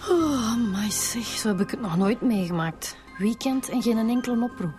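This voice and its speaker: A woman's voice: on the high side, animated, moderate pace, over music, natural voice. A woman playing an informal, chatty police detective in a radio drama, with a Flemish accent. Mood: casual. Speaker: actress playing a police inspector.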